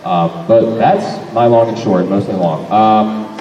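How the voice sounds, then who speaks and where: A young man and voice actor chats at a fan convention Q&A, rambling and correcting himself as he goes. His voice is mellow and a little low. It comes from far off in a big hall with a long, echoing tail. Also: slow, dull audio, soft background music. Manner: rambling, self-correcting, laughs often. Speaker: voice actor panel guest. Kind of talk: convention Q&A panel talk. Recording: echoey hall, mic from far off, faint noise.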